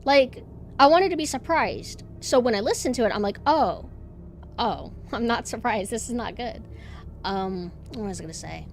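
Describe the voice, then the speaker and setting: A woman streamer with a monotone voice, chatting casually and rambling with lots of fillers and restarts. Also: fairly high pitch, moderate pace, a little hiss or hum. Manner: rambling, self-deprecating, many fillers and restarts. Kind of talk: casual chat.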